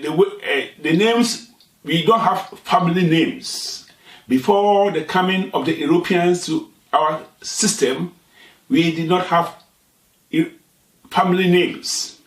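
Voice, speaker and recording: A man from Ghana whose first language is Twi, speaking English with a Ghanaian accent as the host of a satirical talk show, unhurried and explanatory with pauses and restarts. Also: fairly high pitch, roomy sound, far from the mic, rough recording.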